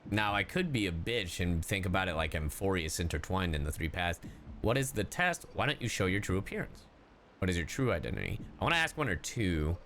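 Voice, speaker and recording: A man's voice: sits low, sing-song, fairly quick, fairly bright tone, slight background noise. A man streaming a game live, commenting playfully and theatrically, often exclaiming and repeating himself. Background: game music.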